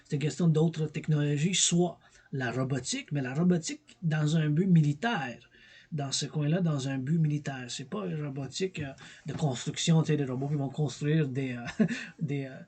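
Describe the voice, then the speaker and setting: A man's voice: fairly high pitch, moderate pace, darkish tone, slightly rough. A middle-aged man with a Québécois French accent, talking through a rambling, digressive film review with many fillers and repeated words. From Quebec. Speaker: vlogger reviewing films.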